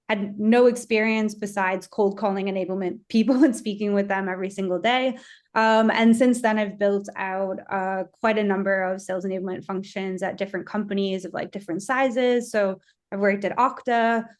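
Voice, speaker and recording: An American woman in her thirties talks through her career in an easy, upbeat way. She fills her sentences with ums and a few small laughs, at an ordinary middle pitch. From United States. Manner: chatty, upbeat, many ums. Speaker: guest speaker. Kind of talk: casual webinar conversation.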